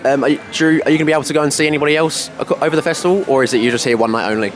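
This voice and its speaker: A man interviewing a comedian, asking quick questions with many ums. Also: a little high, crowd noise. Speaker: radio interviewer.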